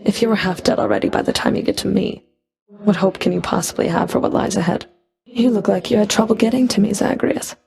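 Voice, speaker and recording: A woman's voice: medium pitch, vocal fry, darkish tone, breathy edge, exaggerated voice. A woman playing a stern, scornful underworld fury in a video game, with a cold, sardonic, taunting delivery. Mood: mocking. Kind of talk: scripted video game character dialogue.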